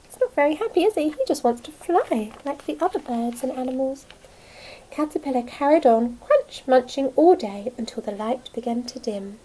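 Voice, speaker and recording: A woman speaking as a nursery teacher, telling a story to young children in a simple, expressive way. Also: sits high, moderate pace, rough recording.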